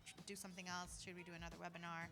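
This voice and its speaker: Woman, earnest organizer type, lower register, conversational podcast delivery with clear music underneath. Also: flat delivery, very fast. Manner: earnest, says "you know" and "like" often. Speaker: community organizer and guest.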